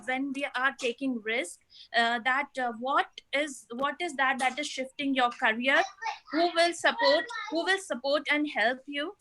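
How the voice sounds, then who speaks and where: Bright high-voiced woman on a call. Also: rough recording. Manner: earnest, many fillers and restarts, apologetic. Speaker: discussant in an online webinar. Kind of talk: summarizing comments on a talk. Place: home, toddler nearby.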